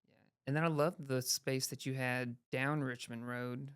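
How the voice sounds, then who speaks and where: A woman hosts a relaxed podcast chat, with a smooth, clean voice at an ordinary pitch. She chatters freely, dropping plenty of likes and yeahs. The mic is close and dry. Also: moderate pace, no echo. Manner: chatty, says yeah and like a lot. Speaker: podcast host. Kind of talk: casual interview chat.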